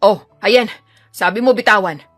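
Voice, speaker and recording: A woman voicing a menacing, obsessive male attacker in an audio drama, taunting, bitter and forceful. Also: medium pitch, normal speed, soft background music, natural voice. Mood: vengeful.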